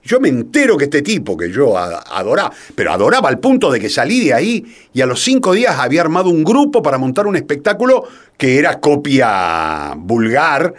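Animated older Argentine man telling stories. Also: a little high, a little fast, hardly any pauses. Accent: Rioplatense Argentine. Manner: animated, self-deprecating, hesitant digressions. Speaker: interview guest, theatre playwright. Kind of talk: casual anecdotal storytelling.

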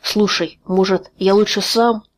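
A woman's voice: mid-range voice, steady tone, measured pace, lightly acted. A woman reading as a pleading young man. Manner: pleading, upset. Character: young man of nineteen, anxious loyal friend.

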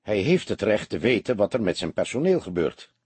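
A man's voice: fairly low pitch, very animated, brisk, a little mellow, breathy edge, no echo, exaggerated voice. A man voicing a weary police detective who talks in a deferential, understated and hesitant way, as in an audiobook. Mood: weary, calm. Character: world-weary police detective, feigning meek, sheepish humility. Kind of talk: audiobook character voice.

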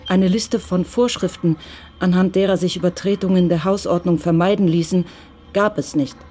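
A narrator telling a crime radio play in even, measured, scripted narration. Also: medium pitch.

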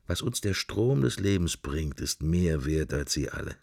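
A man's voice: deep voice, expressive, a little slow, gravelly, dry recording, studio quality, exaggerated voice. An actor playing a wry middle-aged everyman musing to himself in clipped fragments in a radio play. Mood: dreamy musing. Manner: clipped, wandering interior monologue. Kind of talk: intimate literary radio play monologue.

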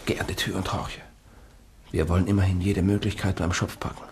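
A man playing a cool-headed journalist in an old radio crime drama, calm and dryly ironic even in danger. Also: low voice, brisk, close to an everyday voice. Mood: tense. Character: resourceful cool-headed male journalist hero. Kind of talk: 1960s radio drama acting. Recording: old radio play with faint hiss.

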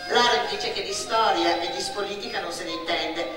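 A woman's voice: mid-range voice, moderate pace. A woman performing a theatrical radio monologue, telling her story expressively with emphatic repetitions and lists. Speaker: actress, solo storyteller. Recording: echoey stage sound, distant, music behind.

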